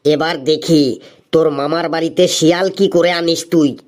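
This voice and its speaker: A man's voice: on the high side, steady tone, normal speed, darkish tone, close to an everyday voice. A man voicing an exasperated, scheming schoolteacher in a comedy cartoon, by turns scolding and coaxing. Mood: scheming muttering. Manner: scolding, then falsely coaxing.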